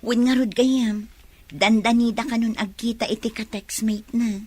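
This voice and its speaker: A woman's voice: on the high side, fairly even intonation, measured pace, darkish tone, breathy edge. A woman playing a chatty, skeptical young friend in a radio drama. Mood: gossipy.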